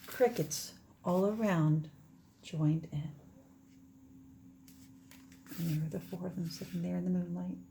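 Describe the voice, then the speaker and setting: A woman's voice: fairly low pitch, a little slow, gravelly, pauses often, mellow, a little hiss or hum. A woman teacher reading a children's storybook aloud in a dramatic manner, often dropping toward a whisper.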